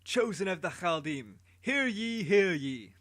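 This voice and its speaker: A man voicing a royal herald with a staff, proclaiming slowly and grandly to a crowd. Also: high voice, very animated, fairly bright tone, dry recording, studio quality, pitched above the performer's own voice, full character voice. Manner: slow, proclaiming.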